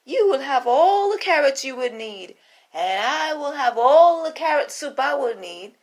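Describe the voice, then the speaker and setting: An impatient, demanding storybook queen with a haughty, drawn-out delivery , as a woman puts her on. Her slow words lilt up and down with a lively pitch.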